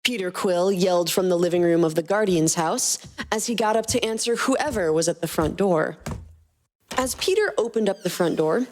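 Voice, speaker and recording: A woman hosting a fan fiction podcast, speaking in a light, expressive storytelling style. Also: medium pitch.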